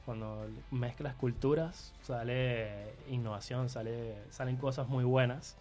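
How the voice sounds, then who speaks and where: A man's voice: mid-range voice, fairly even intonation, unhurried, darkish tone, faint music behind. A man with a Venezuelan accent chatting casually as a guest contributor, often hesitating and repeating words as he goes. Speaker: guest contributor on identity and multiculturalism. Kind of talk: casual chat.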